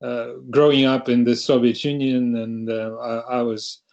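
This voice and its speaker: A man's voice: medium pitch, flat delivery, a little mellow, lo-fi sound. A middle-aged man from Russia, speaking hesitantly on a panel over a video call. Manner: hesitant, halting, many restarts. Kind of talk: online panel talk.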